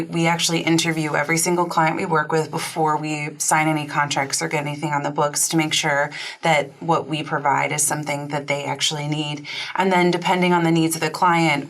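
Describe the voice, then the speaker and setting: A woman voice coach and trained opera singer, speaking as a studio guest with measured, articulate explanations. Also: low voice, steady tone.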